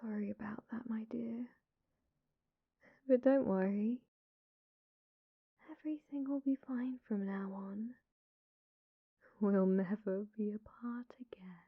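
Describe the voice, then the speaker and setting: A woman's voice: medium pitch, steady tone, slow, pauses often, husky, no room sound, narrow, tinny audio. A British woman playing an obsessive, sweetly possessive young female stalker in an ASMR roleplay, coaxing and often dropping into whispers. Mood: soothing.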